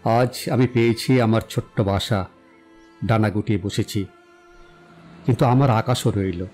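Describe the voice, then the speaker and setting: An actor playing a self-assured young romantic in an audio drama, speaking in a poetic, declamatory and philosophizing way. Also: male voice, lowish voice, a little slow, a little mellow. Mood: impassioned, proud. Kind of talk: dramatized audio story acting. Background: background music.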